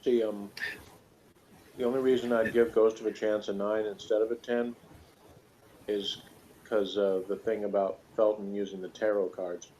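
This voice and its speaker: A man in a casual, unscripted review chat, talking in a halting, jokey way as a co-host. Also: lowish voice, level tone, dark tone. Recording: muffled lo-fi audio, noticeable noise.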